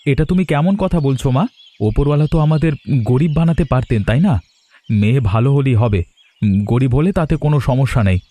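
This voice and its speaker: Mellow young village man voiced by an actor. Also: medium pitch, a little fast, no echo, lightly acted. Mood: earnest. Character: young village men of animated tale, bullies and suitors. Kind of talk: animated Bengali folk tale voice acting. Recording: faint music behind the voice.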